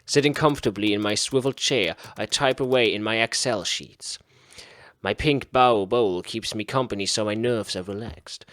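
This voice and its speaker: A man's voice: fairly low pitch, moderate pace, fairly bright tone, no room sound. A man reading a visual novel aloud in a theatrical, mock-serious way as a gaming host. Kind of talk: dramatic read-aloud narration of a visual novel.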